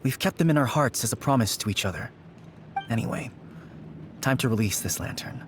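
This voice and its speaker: An actor voicing a grown man who gently recalls his childhood dreams. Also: medium pitch, lively intonation, fairly quick, background noise, close to an everyday voice. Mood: wistful. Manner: nostalgic, gentle.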